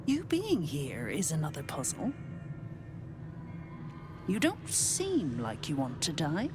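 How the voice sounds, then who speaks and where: Slow, ominous devil's servant. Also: female voice, deep voice, wildly swooping pitch, music in the background, exaggerated voice. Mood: ominous warning. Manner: deliberate, warning tone. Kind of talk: video game character acting. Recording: clear background ambient noise.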